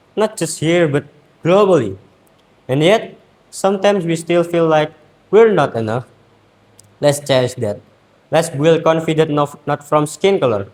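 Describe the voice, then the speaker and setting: Earnest Indonesian teen boy giving a speech. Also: a little high. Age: teens. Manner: earnest, rhetorical, with emphatic pauses. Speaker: student speech contestant. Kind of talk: memorized competition speech.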